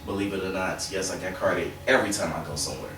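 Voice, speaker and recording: A man's voice: deep voice, fast, fairly bright tone, a little hiss or hum. Echoey, distant male talk show host. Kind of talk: casual group discussion hosting. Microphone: distant camera microphone. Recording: very echoey room, distant mic, faint music.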